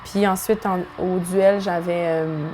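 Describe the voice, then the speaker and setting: A woman's voice: lowish voice, fairly even intonation, unhurried, background noise. A young woman singer with a Quebec French accent, English being her first language, chatting casually in an interview with plenty of fillers. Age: young adult. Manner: chatty, full of fillers and restarts.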